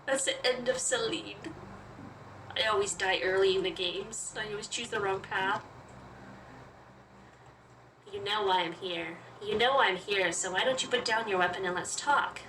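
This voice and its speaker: A woman with a bright, forward voice reads visual novel narration aloud as a gaming host. She moves between storytelling and playful, casual commentary. The mic sits slightly away from her, with faint noise behind. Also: medium pitch, moderate pace, far from the mic, low-quality recording.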